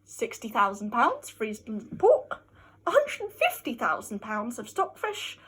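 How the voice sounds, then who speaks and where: A woman with a high, husky voice performs a dramatic reading of classic literature. Her delivery is theatrical and mock-scholarly, with lively, sweeping intonation and strong emphasis. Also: a little slow. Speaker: amateur reader.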